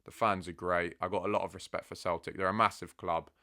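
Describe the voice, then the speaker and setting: A young man from England answers viewer questions in a laid-back, chatty manner. His voice sits low and he often calls the listener "bro". He sounds casual and talkative throughout. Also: flat delivery, fast, bright, forceful tone. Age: 20s. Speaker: football content creator. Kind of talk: casual Q&A chat.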